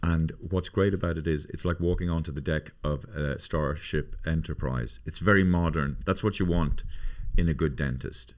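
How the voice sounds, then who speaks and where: A low-voiced man in his fifties talks on a podcast. He rambles and swears through self-mocking stories, drifting from one aside to the next. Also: normal speed, few pauses. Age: 50s. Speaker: podcast host. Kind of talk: casual comedic chat.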